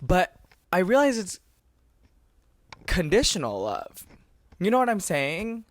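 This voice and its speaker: Young guy podcast host, ranty and heartfelt, kinda high voice, dry sound. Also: wildly swooping pitch, slow pace, dry recording. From Texas and California. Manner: rambling, passionate, swearing. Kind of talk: emotional casual monologue.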